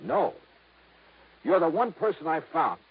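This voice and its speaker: An actor playing a blunt, wisecracking, hardboiled insurance investigator in a 1950s radio drama. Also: male voice, a little high, very animated, breathy edge, heavily acted. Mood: insistent. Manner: brisk, wisecracking, insistent. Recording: muffled, hissy vintage radio recording.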